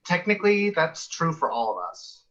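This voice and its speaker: Man playing a bright, sassy office coworker. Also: sits high, animated, moderate pace. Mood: sassy, curious. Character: sassy, nitpicking, gossipy male office coworker. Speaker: actor in a sitcom table read. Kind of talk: sitcom table read dialogue. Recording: muffled video call audio.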